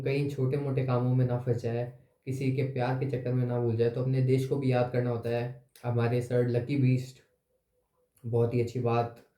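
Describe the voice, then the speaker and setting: A young man hosting his channel in a relaxed, chatty way, thinking aloud with pauses, his voice slightly hoarse. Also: medium pitch. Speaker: YouTube channel host. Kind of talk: casual chat to camera. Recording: echoey room.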